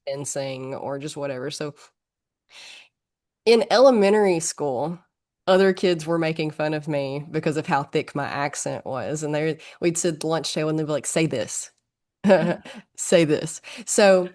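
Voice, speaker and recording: A woman from northern Alabama with a thick Southern accent, a podcast guest telling stories in a quick, chatty way. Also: sits low, a little mellow. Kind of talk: casual conversation.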